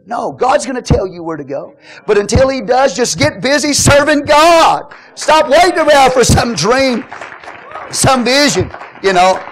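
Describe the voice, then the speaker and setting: A middle-aged man preaching a sermon as pastor, telling jokes and swinging from quiet asides to loud exhortation. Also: very high-pitched, expressive, a little slow.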